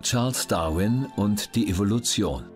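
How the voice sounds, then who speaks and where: A man's voice: fairly low pitch, lively intonation, measured pace, over music. A man announcing headings and facts in a scripted audiobook narration.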